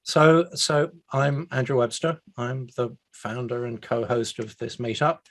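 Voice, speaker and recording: A man's voice: medium pitch, steady tone, measured pace, fairly bright tone. Jokey chatty man hosting a video call. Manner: jokey, self-deprecating, chatty. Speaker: meetup host. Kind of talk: casual online meetup chat.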